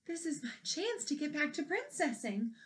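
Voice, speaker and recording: A woman's voice: sits high, big pitch swings, normal speed, voice pushed up. A woman voicing a sassy, outspoken young storybook princess with a blunt, sarcastic manner. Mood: eager.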